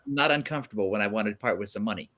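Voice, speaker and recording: Bright, forceful man hosting a chat. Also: lowish voice, racing. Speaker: guest host. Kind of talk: casual panel chat.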